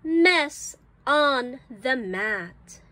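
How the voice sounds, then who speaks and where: A woman reading an early phonics book aloud for children as a teacher, enunciating each word deliberately and carefully. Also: high voice, animated, bright, forceful tone. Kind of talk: slow read-aloud of an early reader for children.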